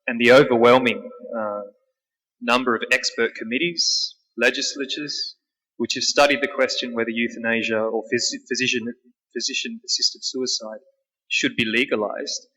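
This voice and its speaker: A man asking a formal question from the floor of a public debate, halting and repeating words. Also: mid-range voice, steady tone, sharp tone. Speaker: audience questioner. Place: large town hall. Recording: echoey large hall.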